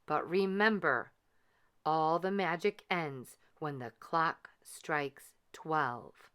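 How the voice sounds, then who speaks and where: A woman's voice: sits low, very slow, a bit bright, smooth, full character voice. A woman voicing a kindly, bustling little fairy godmother from a storybook, exclaiming and coaxing sweetly. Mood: excited, urging.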